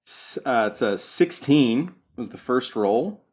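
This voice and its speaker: A man chatting casually and haltingly as a player at a tabletop game. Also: mid-range voice, animated, noisy background.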